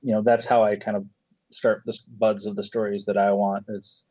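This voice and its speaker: A man speaking casually as an interview guest, a screenwriter and director, in a halting, thoughtful way that circles back through rephrasings. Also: lowish voice, level tone, dry recording. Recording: dull, muffled lo-fi audio.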